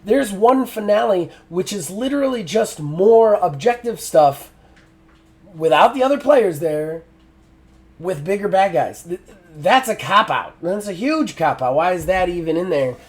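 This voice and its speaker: A man's voice: sits high, lively intonation, unhurried. A middle-aged man giving a frank spoken game review, often exasperated, with lots of fillers and restarts.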